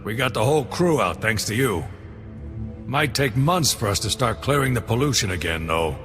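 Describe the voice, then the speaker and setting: A man as a bright, forceful security chief. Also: mid-range voice, lively intonation, normal speed, close to an everyday voice. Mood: relieved. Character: gruff but grateful male security chief. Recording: long reverb, faint music.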